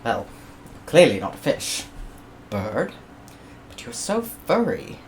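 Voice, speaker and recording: A woman's voice: a bass voice, big pitch swings, slow pace, a little mellow, slight background noise, heavily acted. Woman voicing George: fussy English colonist scientist, eager and prying.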